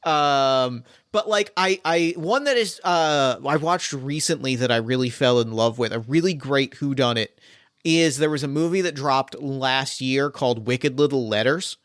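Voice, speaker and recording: A man hosting a casual podcast chat, animated and quick to agree. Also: medium pitch, dry recording, studio quality. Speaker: game master and podcast host.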